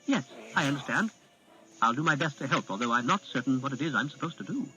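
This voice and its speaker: A man's voice: medium pitch, animated, quick pace, sharp tone, soft background music, rough recording. A man voicing Prince Bane, an imperious boy prince who speaks in a clipped, haughty way.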